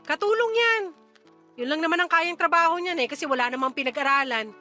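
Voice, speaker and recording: A woman playing a brash, scornful middle-aged mother in a radio drama, sharp-tongued and dismissive. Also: high voice, brisk, faint music behind. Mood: bossy, scornful. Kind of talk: Tagalog radio drama acting.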